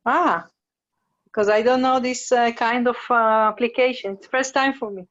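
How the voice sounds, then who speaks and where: A woman from Slovakia asking a question on a call, speaking hesitantly with many pauses and fillers. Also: on the high side, fairly even intonation, fairly bright tone, dull audio. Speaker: caller. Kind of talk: question from a participant.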